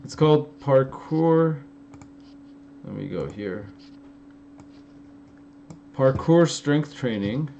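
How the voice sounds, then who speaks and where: Rambling man with an Armenian-influenced accent, mellow voice. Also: mid-range voice, animated, very slow, vocal fry, long pauses. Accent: Armenian-influenced American. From Los Angeles. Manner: loose, rambling, many fillers and restarts, laughs easily. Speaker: fitness YouTuber answering live chat. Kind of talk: casual live-stream Q&A. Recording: slightly dull, lo-fi stream audio with faint noise.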